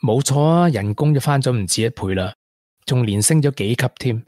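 An actor playing a regretful former tech prodigy in a radio drama, with a Hong Kong accent and earnest delivery. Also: male voice, medium pitch, animated, a little fast, darkish tone, dry recording, clean studio sound, close to an everyday voice. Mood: confessional. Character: regretful young ex-tech prodigy, confessing to a woman. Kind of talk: Cantonese radio drama acting.

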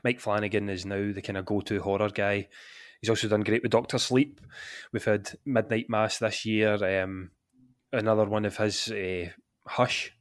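A man with a Glaswegian accent, a guest on a podcast, chatting in a relaxed, easygoing way with frequent laughs. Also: on the low side, normal speed, no echo, clean studio sound. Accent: Scottish, Glaswegian. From Scotland. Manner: relaxed, chatty, laughs often, says "aye". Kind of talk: casual chat.

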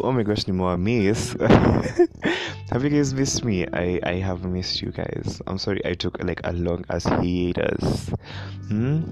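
A young Kenyan man with a deep voice and a Kenyan accent, chatting casually on his own podcast, rambling with many fillers and frequent laughs. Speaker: podcast host. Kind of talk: casual solo podcast chat.

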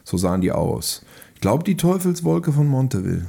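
Gravelly, husky man chatting about films. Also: medium pitch, sing-song, fairly quick, mellow. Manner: loose, colloquial, tag questions. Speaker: film podcast speaker. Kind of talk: casual chat.

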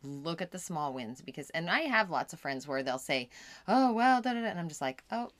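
A woman's voice: sits low, very animated, brisk, dry recording. A mother co-hosting a podcast, chatting in an agreeable, affirming way. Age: middle-aged mother. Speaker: podcast co-host. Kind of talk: casual podcast chat.

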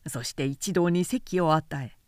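A woman narrating a literary audiobook as a professional, with measured, carefully articulated delivery. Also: deep voice, no room sound, studio quality.

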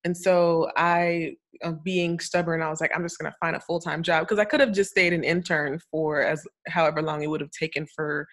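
Brief: young woman guest, slightly low pitch, easy chatty delivery, laughs between phrases. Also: fairly even intonation, fairly quick, few pauses. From Florida. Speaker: guest, cybersecurity consultant. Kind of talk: casual interview talk.

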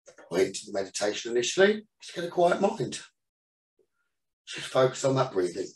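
A man leading a guided meditation as the teacher, giving soothing instructions in short phrases with pauses between them. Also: mid-range voice, very animated. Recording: roomy echo, distant from the mic.

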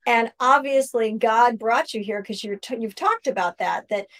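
A woman with a somewhat high-pitched voice hosts a faith interview. She talks conversationally, in an effusive and affirming manner, as if reflecting along with a guest. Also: normal speed, fairly bright tone. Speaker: interview host.

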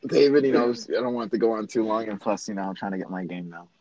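A man's voice: lowish voice, fast talker, a little mellow, dull audio. A man chatting casually with a friend as podcast co-host, joking, boastful and swearing freely. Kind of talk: casual chat between friends. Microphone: remote call mic.

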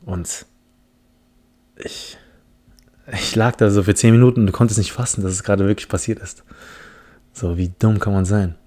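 A man's voice: lowish voice, fairly even intonation, moderate pace, long pauses, mellow. A man from the Philippines hosting a casual solo podcast, telling a story in a rambling, self-mocking way with many restarts. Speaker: podcast host.

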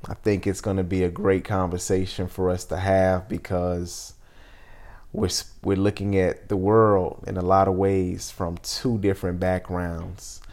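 A man in his thirties hosting a reflective interview, speaking in an earnest, deliberate way. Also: low voice, little pitch movement, a little mellow. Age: 30s. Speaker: podcast host.

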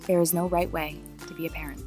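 A woman reading a scripted, reflective podcast intro in a soft, partly whispered way, over music. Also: deep voice, level tone, fast. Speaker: podcast host.

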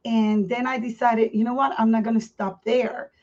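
A woman, her voice set a little high. She talks candidly as a podcast guest, circling back and restarting her phrases. The sound is muffled and dull. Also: little pitch movement, darkish tone. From Puerto Rico. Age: 50s. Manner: candid, earnest, speaks in halting restarts. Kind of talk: personal life story in a podcast interview.

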